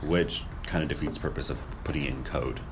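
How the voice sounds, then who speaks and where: A man's voice: rumbling low, fast. A young man chatting casually as a podcast co-host, with a skeptical, questioning manner. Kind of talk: casual conspiracy discussion. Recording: noisy room recording.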